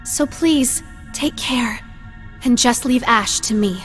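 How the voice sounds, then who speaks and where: Genteel young lady for fantasy game, highish voice, poised and gently theatrical, scored with music. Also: slow pace. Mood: composed, wistful. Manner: formal, composed, gently theatrical. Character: poised, refined young lady with a secret role. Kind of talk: dramatic video game acting.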